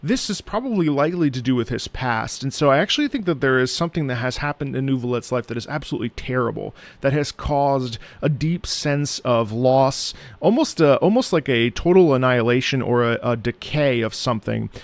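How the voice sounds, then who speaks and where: A man who is an opera singer giving reaction commentary on game music, halting and thoughtful as he searches for words. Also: medium pitch, expressive, no echo. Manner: breaks into sung demonstrations of musical phrases.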